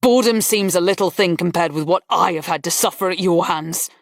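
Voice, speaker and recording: A woman voicing a grave army colonel who speaks quietly and with restraint. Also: lowish voice, brisk, no echo, clean studio sound, close to an everyday voice. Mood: bitter.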